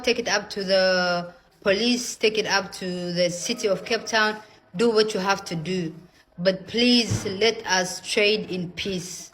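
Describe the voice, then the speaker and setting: A woman speaking directly to listeners in an earnest, pleading and emphatic appeal, choosing her words deliberately. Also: medium pitch, fairly bright tone. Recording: noisy, with background ambience.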